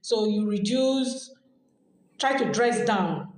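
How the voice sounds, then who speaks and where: A woman's voice: mid-range voice, animated, slow pace. A woman with a Nigerian accent hosting a podcast, giving casual advice in a chatty way and repeating phrases as she explains. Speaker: podcast host. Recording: very echoey room.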